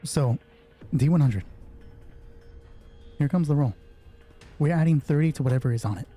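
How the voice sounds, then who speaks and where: Male solo game master, husky and slightly high-pitched, casual sweary narration of dice rolls and action, music underneath. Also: moderate pace, dark tone. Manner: casual, swearing freely, thinking aloud. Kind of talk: narrating solo tabletop roleplay, reading out dice results.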